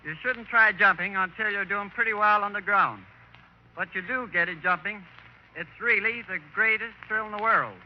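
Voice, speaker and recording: Man, high pitch, 1930s radio interview guest, scripted answers, dull sound with faint hiss. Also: moderate pace. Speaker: guest, skier.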